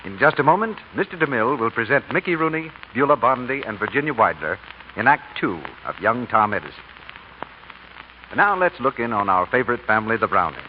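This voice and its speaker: A man's voice: medium pitch, big pitch swings, brisk. A man reading a scripted 1940s radio commercial as the announcer. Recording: muffled old radio, faint noise.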